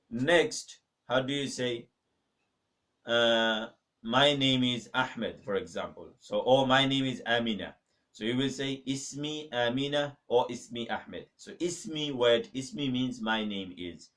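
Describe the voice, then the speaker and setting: A man's voice: mid-range voice, a bit bright, distant mic. A man teaching a beginner Arabic lesson in a mosque, patiently repeating each phrase and checking with "okay?". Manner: patient, repeats phrases slowly with many okays. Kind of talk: live-streamed beginner language lesson. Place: mosque classroom.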